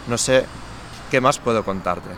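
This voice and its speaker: A man's voice: medium pitch, a little slow, a bit bright, slight background noise. A man giving conversational testimony, with urban ambience behind him.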